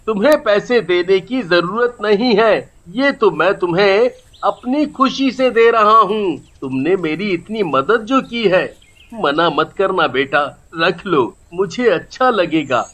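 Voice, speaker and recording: A performer voicing a kindly, grateful grown man who sells lottery tickets in a moral story, gentle and reassuring. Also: mid-range voice, expressive, takes it slowly. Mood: kind, insistent. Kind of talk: animated moral story character acting.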